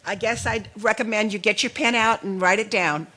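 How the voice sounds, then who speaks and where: Bright high-voiced man moderating. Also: a little fast. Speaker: session moderator.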